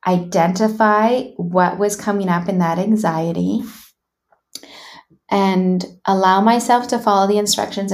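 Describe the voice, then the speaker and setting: Canadian-raised woman, coach guest, candid and musing, medium pitch. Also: darkish tone. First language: English. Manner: frank, thoughtful, many "like" and "you know" fillers. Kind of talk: reflective personal storytelling.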